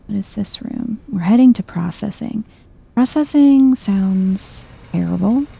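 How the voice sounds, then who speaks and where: A woman giving soft-spoken, chill ASMR-style commentary as she plays a video game, murmuring as if to herself. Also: medium pitch, expressive, unhurried, gravelly, slight background noise. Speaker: video game streamer, let's player.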